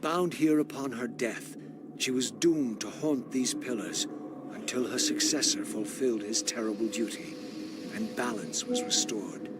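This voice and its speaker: An actor playing a brooding undead wraith in a video game, narrating with formal, eloquent, theatrical diction and a solemn manner. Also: male voice, medium pitch, a little slow, loud music behind the voice, close to an everyday voice. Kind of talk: dramatic scripted game narration.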